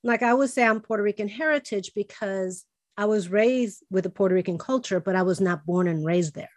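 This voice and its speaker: A woman's voice: mid-range voice, a little fast, dry recording. Conversational woman host, dry sound. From Chicago. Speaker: podcast host. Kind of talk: casual interview chat.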